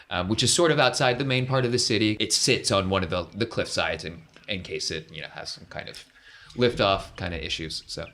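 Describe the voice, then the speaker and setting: A man's voice: deep voice, fairly bright tone. A man acting as game master, narrating a tabletop role-playing game in an informal, conversational way. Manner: informal, conversational scene-setting.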